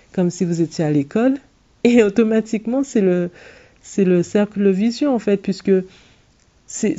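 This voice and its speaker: A woman in her thirties talks straight to her listeners in a very dark, mellow voice. She is earnest and direct, often restarting her sentences. The sound is a little dull and lo-fi. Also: lowish voice. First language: English. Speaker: podcast host and English coach. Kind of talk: solo podcast monologue giving advice.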